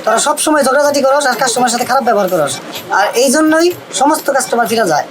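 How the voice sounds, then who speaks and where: Woman acting stern bangladeshi shop owner, commanding, quick talker, loud music n crowd behind. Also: high voice, lively intonation. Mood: angry. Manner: scolding, commanding. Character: stern, fed-up shop owner scolding staff. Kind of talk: comic short-drama acting.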